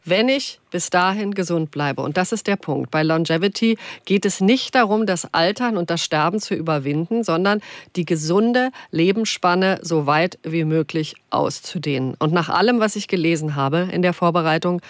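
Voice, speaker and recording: A woman's voice: lowish voice, moderate pace, no room sound. A woman in her late fifties hosting a live discussion show on a studio microphone. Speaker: radio talk show host. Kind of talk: live phone-in discussion moderation. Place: radio studio. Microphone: studio broadcast microphone.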